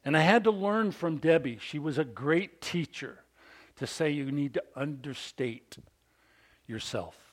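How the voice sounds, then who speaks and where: A man in his fifties preaching a sermon to fathers, with a big, animated delivery that calls for amens from the congregation. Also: fairly high pitch, measured pace. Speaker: pastor.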